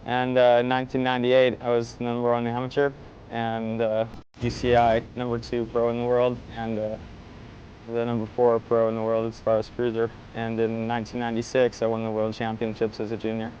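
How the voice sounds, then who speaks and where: A man's voice: medium pitch, monotone, brisk, darkish tone, muffled recording. A man in his twenties from Arizona, a student and racer, plainly listing his results. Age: 20s.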